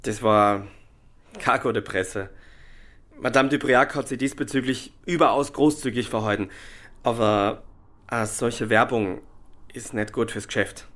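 A man acting an evasive young suspect in a German radio crime drama, deferential, halting and guarded as he answers. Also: medium pitch, fairly bright tone, lightly acted. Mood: uneasy explaining.

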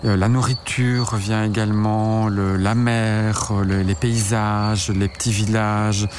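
A man from Belgium, low-pitched, explains his ideas slowly, stopping and restarting as he thinks. Crickets and insects buzz steadily behind him in a noisy outdoor recording. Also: little pitch movement. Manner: hesitant, restarts, thinking aloud. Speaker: author and researcher, interviewee. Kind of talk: radio interview, explaining.

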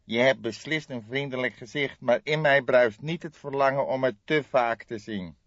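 A man's voice: medium pitch, moderate pace, fairly bright tone, no room sound, muffled recording, pitched above the performer's own voice. A man voicing a small, cynical old coroner with a condescending manner in an audiobook.

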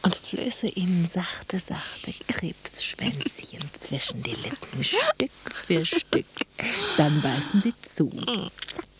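Slow, low-voiced seductive woman. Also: big pitch swings, in a whisper. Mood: seductive, teasing. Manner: formal, teasing, ornate diction. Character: Marianne, seductive, courtly woman flirting with another woman. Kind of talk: German radio play acting.

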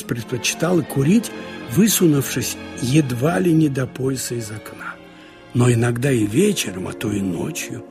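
Gravelly male storyteller with music behind. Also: mid-range voice. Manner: conversational, wry storytelling with expressive asides. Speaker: narrator reading literary prose. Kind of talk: dramatic audiobook narration of a short story.

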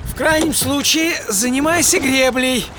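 A man's voice: very high-pitched, unhurried, noisy background, pitched above the performer's own voice. A man playing a witty, ironic English physicist reflecting on his life in a theatrical radio monologue. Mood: languid, snobbish. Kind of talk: dramatised first-person monologue, radio play.

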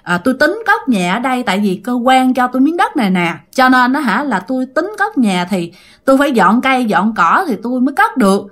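Ghost-story husband voiced by a woman: ordinary man, high-pitched, apologetic, pleading repeatedly. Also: big pitch swings, a little fast, fairly bright tone. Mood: anxious pleading. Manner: pleading, repetitive.

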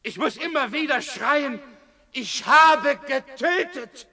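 An actor playing a man who has committed murder, crying out his guilt, then answering in a flat, weary voice. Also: very high voice, slow, big-room sound, full character voice. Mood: anguished shouting. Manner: anguished shouting, then flat weary replies. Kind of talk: radio play acting.

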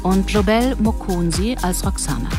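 A woman announcer reading scripted radio drama credits in a measured, formal way. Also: medium pitch. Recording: music behind the voice.

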